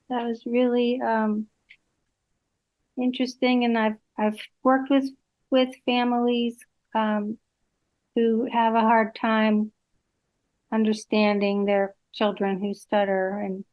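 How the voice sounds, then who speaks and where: A woman facilitating a webinar, replying to a speaker in a calm, measured way with some fillers. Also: medium pitch, muffled recording.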